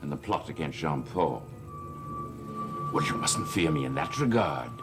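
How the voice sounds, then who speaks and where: A man's voice: a bass voice, wildly swooping pitch, a little slow, full character voice. An actor playing a scheming man who wheedles a servant into helping him, in a 1960s soap opera. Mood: insinuating. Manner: insinuating, wheedling. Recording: old TV soundtrack with loud music.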